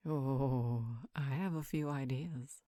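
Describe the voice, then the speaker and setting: Lady doing a sarcastic vampire woman, low voice, haughty and flirty, really dry close sound. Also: slow, warm tone, no room sound. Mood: smug, playful. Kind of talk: roleplay audio drama.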